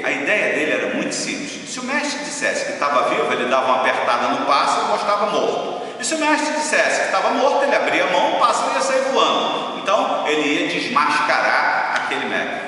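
A man, a guest sales speaker telling a motivational story with expressive swings in pitch. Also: a little hiss or hum. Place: small classroom, indoors. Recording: echoey room from far off.

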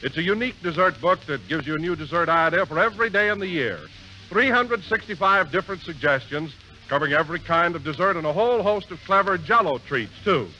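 A man announcing a scripted radio commercial in a hearty, enthusiastic pitchman manner. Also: animated, quick pace, bright, forceful tone, slight background noise. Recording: muffled 1940s radio broadcast with music.

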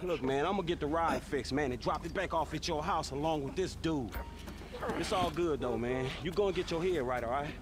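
A man's voice: on the high side, fairly quick, crowd noise, natural voice. An actor playing a streetwise, easygoing young American man, slangy and unimpressed, in a video game cutscene. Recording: noisy street ambience behind voice.

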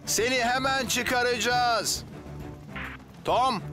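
A man playing a calm, reassuring heroic firefighter in a children's cartoon. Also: very high voice, slow pace, music in the background. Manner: reassuring, encouraging.

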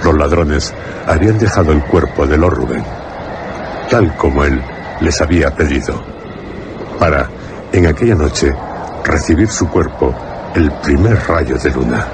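Very deep-voiced male horror narrator. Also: animated, noisy background. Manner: grave, deliberate phrasing with pauses. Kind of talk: scripted dramatic horror narration.

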